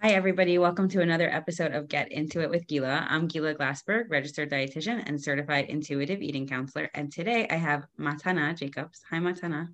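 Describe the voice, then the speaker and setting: A woman with a notably low voice hosts a podcast interview. She is enthusiastic and encouraging, warmly affirming what her guest says. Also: quick pace, few pauses. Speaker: podcast host, registered dietitian.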